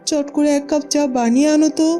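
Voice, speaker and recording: A woman's voice: high-pitched, fairly even intonation, quick pace, warm tone, natural voice. A woman voicing a bossy, fussy middle-aged village mother-in-law in a cartoon, with music behind her. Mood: demanding. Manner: scolding, exclamatory, fussing. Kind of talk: Bengali cartoon dubbing.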